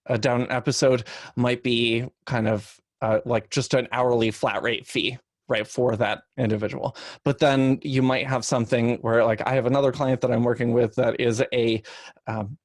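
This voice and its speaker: A man's voice: medium pitch, dry recording. Man explaining casually, dry close sound. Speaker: podcast producer and editor on a panel. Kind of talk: informal panel explanation.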